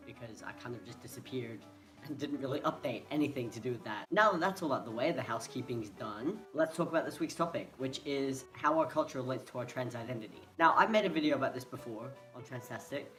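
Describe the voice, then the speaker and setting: A young man from Hong Kong talks to his phone camera in a loose, rambling vlog, often stopping and starting over as he searches for words. His pitch sits in a middle range. Faint music plays in the background. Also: fairly bright tone. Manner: hesitant, rambling, restarts often. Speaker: vlogger.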